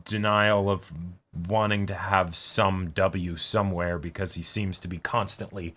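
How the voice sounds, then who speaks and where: Bright, lowish-voiced man in casual chat. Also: fairly even intonation, unhurried. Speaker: reaction show co-host.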